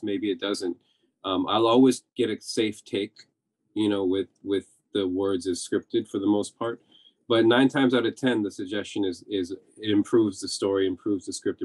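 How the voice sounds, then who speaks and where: A man in his forties, a TV director, talking casually on a video call from home, thinking aloud with restarts. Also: on the low side. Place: home room.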